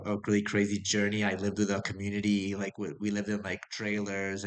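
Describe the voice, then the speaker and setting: A man's voice: fairly low pitch, fairly bright tone. A young man from the United States telling his life story in a casual, rambling way, with many fillers and restarts. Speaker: vlogger. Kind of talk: casual personal monologue.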